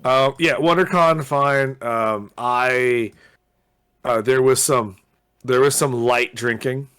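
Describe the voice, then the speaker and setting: A man in his usual middle-pitched register, chatting loosely with friends on a podcast. He rambles, stops, restarts and fills the gaps with ums and uhs as he tells his story. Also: takes it slowly, fairly bright tone, clean tone. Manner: rambling, many fillers and restarts. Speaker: podcast co-host. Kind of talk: casual chat. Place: Los Angeles area.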